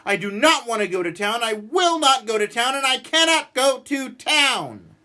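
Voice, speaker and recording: A man voicing a cranky, stubborn, exasperated man who grumbles and rants in an animated storybook read-aloud. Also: extremely high pitch, normal speed, pitched above the performer's own voice, exaggerated voice. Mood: exasperated ranting. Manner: exasperated, ranting, emphatic.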